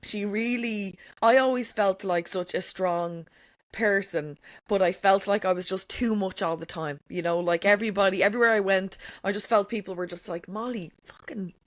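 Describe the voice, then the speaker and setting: A woman's voice: medium pitch, normal speed, dry recording, clean studio sound. A woman talking as a podcast guest, emphatic and candid, swearing freely and leaning on "like" and "you know". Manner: says she has a strong voice. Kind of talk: candid conversational chat.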